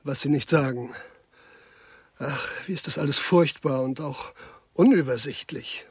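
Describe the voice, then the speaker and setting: An actor playing a fretful, distracted administrator of an artists' villa, absently agreeing and lamenting in a grotesque radio crime play. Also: male voice, medium pitch, unhurried, husky, dry recording. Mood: harried, distracted.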